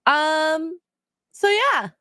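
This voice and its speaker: A woman's voice: sits high, animated, very slow, pushed and bright, no echo, studio quality. A woman hosting a casual livestream chat, sounding nasal from a cold, rambling with many ums as she reads out donations. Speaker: stream co-host.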